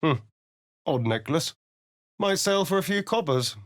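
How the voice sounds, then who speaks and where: An actor playing a sly, sardonic vampire rogue in a video game, with a theatrical, arch, drawling delivery. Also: male voice, a little high, lively intonation, a little slow, a bit bright. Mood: dry, wry.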